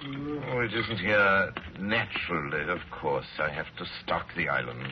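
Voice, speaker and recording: An actor playing a cultured, deranged general and big-game hunter in an old radio drama, courtly and deliberate, savoring his words. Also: deep voice. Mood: proud, ominous. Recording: dull, noisy old-time radio recording.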